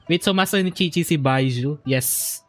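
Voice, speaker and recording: A man's voice: on the high side, moderate pace, a bit bright. A man with a Filipino accent giving casual gameplay commentary as a streamer, thinking aloud and switching languages, over game music. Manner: code-switching, musing aloud. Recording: faint game music behind the voice.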